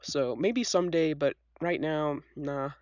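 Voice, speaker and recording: A woman's voice: sits low, a little slow, darkish tone, no room sound, lo-fi sound. A young woman with a scratchy voice, stuffed up from a cold, chatting casually and self-deprecatingly as she tells stories as a host. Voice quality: scratchy, congested. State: sick with a cold, sniffly. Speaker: video host. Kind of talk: casual chat, storytelling.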